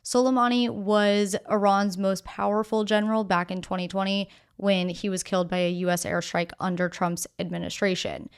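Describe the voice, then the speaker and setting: A woman hosting a news podcast, reading scripted stories in a measured, explanatory way with casual asides. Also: mid-range voice, little pitch movement, clear, resonant tone.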